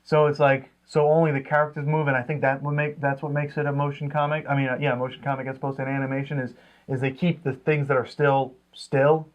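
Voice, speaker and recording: A man co-hosting a casual review, speaking haltingly and repeating words as he reasons. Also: medium pitch, flat delivery. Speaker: co-host of a comics review show. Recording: dull, lo-fi sound.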